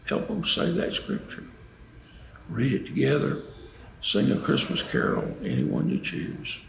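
An older man tells devotional holiday stories to an audience in a folksy manner, with dramatic pauses and emphasis. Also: on the low side, wildly swooping pitch, vocal fry. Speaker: storyteller and preacher. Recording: roomy echo, faint background noise.

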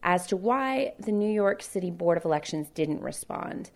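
Low-voiced woman radio reporter, measured narration. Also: expressive. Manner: clear measured narration, friendly questions. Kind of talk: news report with field interviews.

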